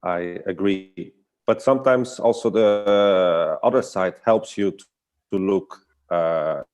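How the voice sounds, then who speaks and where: Very deep-voiced man, thoughtful and hesitant. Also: takes it slowly, darkish tone, clean tone. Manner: hesitant, searching for words. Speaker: guest artist and storyteller. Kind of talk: casual reflective online conversation.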